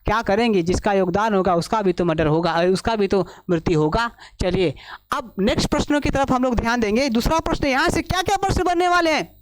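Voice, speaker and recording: A male current affairs teacher giving an exam-prep lecture, hoarse from a long day of classes, who keeps asking himself questions and answering them. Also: high voice, animated, brisk. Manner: asks himself questions and answers them, repeats key points.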